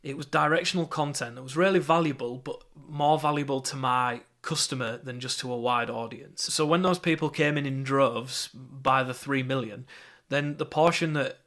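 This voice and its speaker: A man's voice: mid-range voice, moderate pace, a bit bright. A young man with a Yorkshire accent, teaching an online marketing course in a conversational, upbeat way with fillers and restarts. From England.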